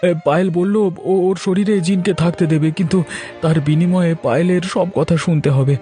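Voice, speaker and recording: Timid village father for a cartoon, acted by a man: very high, soft dark tone, anxious stammer, faint music. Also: fairly even intonation, a little fast, close to an everyday voice. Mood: hesitant explaining. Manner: stammering, hesitant. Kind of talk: Bengali cartoon voice acting.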